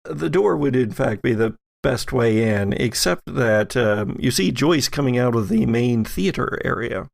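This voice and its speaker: A man serves as game master for a tabletop horror game. His voice has a gravelly fry at a middling pitch, and he builds each scene haltingly as he narrates. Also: animated, no room sound, clean studio sound.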